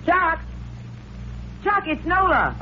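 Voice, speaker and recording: An actress playing a cool, guarded newspaper assistant, brisk and sarcastic, in 1940s radio drama style. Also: female voice, very high-pitched, very animated, exaggerated voice. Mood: coaxing. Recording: muffled, hissy old radio recording.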